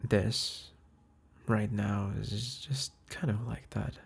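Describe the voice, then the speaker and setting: Husky gravelly man whispering softly. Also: fairly low pitch, steady tone, slow. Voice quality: husky, breathy, often whispering. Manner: soft, hesitant, tender, with sighs and restarts. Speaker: boyfriend in a roleplay audio. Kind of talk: intimate apology roleplay to the listener, ASMR-like.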